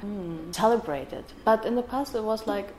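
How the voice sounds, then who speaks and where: A woman with a German accent, German being her first language, talking haltingly as an interview guest, with many pauses and restarts. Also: mid-range voice, darkish tone, a little hiss or hum, rough recording. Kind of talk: personal interview.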